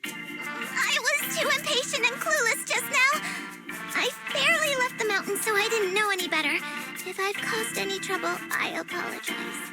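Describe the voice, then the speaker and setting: An eager young tiger-girl heroine from a video game, with a very high, childlike voice. She speaks with brave, polite earnestness, and loud music plays behind her. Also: moderate pace, low-quality recording. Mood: earnest.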